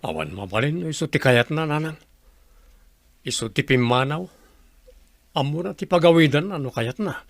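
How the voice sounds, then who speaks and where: An actor playing a resigned, long-suffering husband in an Ilocano radio drama. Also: male voice, a little high, expressive, moderate pace, long pauses, no room sound.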